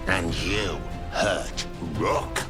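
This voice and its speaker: An actor playing a spiteful, menacing spirit in a video game, blunt and accusing. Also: male voice, sits low, drawn-out words. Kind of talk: dramatic video game dialogue. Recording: loud music behind voice.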